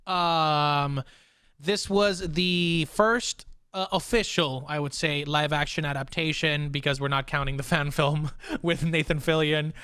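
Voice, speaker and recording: A man's voice: fairly high pitch, steady tone, a little slow, bright, forceful tone, clear, resonant tone, dry recording. A young man hosting a casual pop culture discussion, talking enthusiastically in informal, looping, hesitant phrases. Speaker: podcast host.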